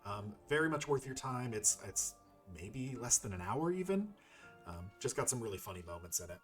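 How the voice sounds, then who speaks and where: A male gaming YouTuber talking through his play in a mid-pitched voice. He rambles and thinks aloud in a playful, informal way, with game music running underneath. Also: expressive, moderate pace, a bit bright. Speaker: gaming YouTuber playing and commenting. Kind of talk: casual let's-play commentary.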